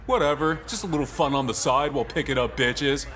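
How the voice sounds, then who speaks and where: A man's voice: medium pitch, brisk, fairly bright tone, lightly acted. A man voicing a crude, cocky teenage slacker in a visual novel game, slangy and boastful.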